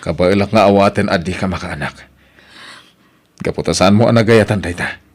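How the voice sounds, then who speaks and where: A man's voice: low voice, normal speed. A man acting the part of an emotional, pleading husband and father in an Ilocano radio drama, with an Ilocano accent. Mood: hurt, angry. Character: weary, wronged Ilocano husband and worried father.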